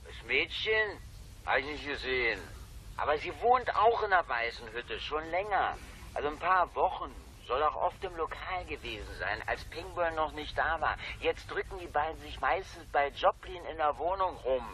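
An actor playing a boastful, hesitant older private eye's informant in a crime radio play. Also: male voice, fairly high pitch, expressive, moderate pace, sharp tone, poor audio. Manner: hesitant, chatty, colloquial.

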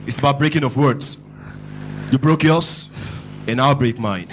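A man's voice: mid-range voice, measured pace, faint music behind, natural voice. A Nigerian man playing a cold, mocking kidnapper who threatens over the phone. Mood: menacing.

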